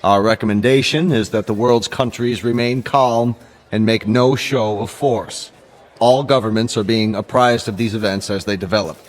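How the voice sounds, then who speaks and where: An actor playing the UN Secretary General, giving formal official statements in a radio drama. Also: male voice, lowish voice, fairly even intonation, close to an everyday voice. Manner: formal official address, halting at times.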